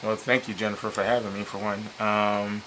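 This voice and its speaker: A man in his fifties, born in Liberia, a chef talking casually and a little haltingly as a TV interview guest. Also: lowish voice, slight background noise, poor audio. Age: 50s. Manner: relaxed, hesitant with many ums, laughs easily. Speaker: chef and business owner, guest. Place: local TV studio.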